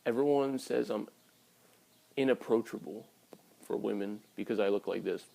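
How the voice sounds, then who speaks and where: A man's voice: fairly low pitch, moderate pace, creaky, warm tone. A man in his early twenties talking casually and candidly to his viewers in a blunt, sweary vlog style. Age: early 20s. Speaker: vlogger.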